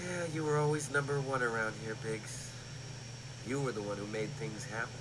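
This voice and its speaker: A man's voice: mid-range voice, moderate pace, noisy background, natural voice. An actor playing an earnest, restless teenage farm boy in an old radio drama. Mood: wistful. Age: young adult. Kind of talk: 1980s radio drama acting.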